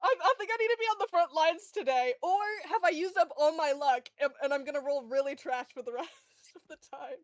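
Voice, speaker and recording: A woman's voice: high-pitched, brisk, hardly any pauses, no echo, clean studio sound. A woman playing in a tabletop role-playing game, chatting casually.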